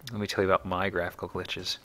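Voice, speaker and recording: A man's voice: deep voice, fairly bright tone, a little hiss or hum. A man hosting a let's play, giving casual, conversational game commentary with wry, jokey asides.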